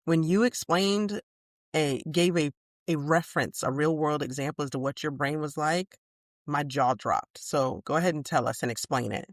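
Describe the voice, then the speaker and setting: Calm, measured woman co-hosting a podcast. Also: low voice, dry recording, clean studio sound. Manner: measured, calm, with bursts of big laughter. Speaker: podcast co-host. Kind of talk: casual chat.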